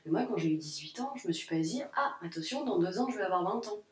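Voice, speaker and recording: A woman's voice: lowish voice, animated, fast talker. A woman in her late twenties co-hosting a casual discussion, hesitant and often restarting her sentences. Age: late 20s. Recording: roomy echo.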